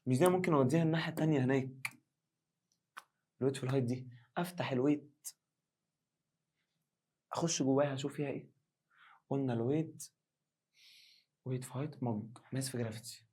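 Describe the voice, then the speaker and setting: An Egyptian man with a rough, worn-out voice runs a quick science revision. He talks fast and keeps the energy high, pitching up loudly whenever he acts out a student asking for help. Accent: Egyptian Arabic. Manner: animated, acts out student questions in a raised voice. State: hoarse. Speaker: science teacher. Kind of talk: lively exam revision lesson.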